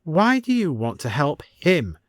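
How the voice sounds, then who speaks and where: A man's voice: a little high, wildly swooping pitch, unhurried, no echo, clean studio sound, voice pushed up. A man voicing an indignant, impatient village woman who exclaims her lines in a story reading.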